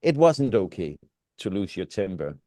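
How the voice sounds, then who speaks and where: A man's voice: low-pitched, big pitch swings, moderate pace, warm tone, no echo. A middle-aged man, a native Danish speaker with a Danish accent, co-hosting a casual reflective conversation and often searching for words. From Denmark. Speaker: podcast co-host.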